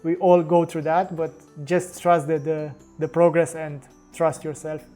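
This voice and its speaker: A man's voice: on the high side, little pitch movement, measured pace, warm tone, faint music behind. A man with a Romanian accent, a podcast guest talking casually in English with frequent restarts and fillers. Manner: hesitant, many restarts and fillers. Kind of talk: casual interview talk.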